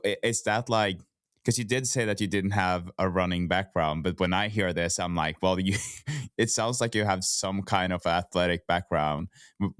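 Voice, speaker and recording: A man's voice: deep voice, clear, resonant tone, no room sound, studio quality. A man with a Norwegian accent, hosting a podcast in a casual, conversational way. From Norway. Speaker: podcast host.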